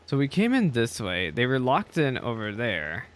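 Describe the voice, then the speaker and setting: A young man commenting on his own gameplay for his viewers, excitable and quick to complain or cheer. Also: medium pitch. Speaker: gaming content creator. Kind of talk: live game reaction commentary.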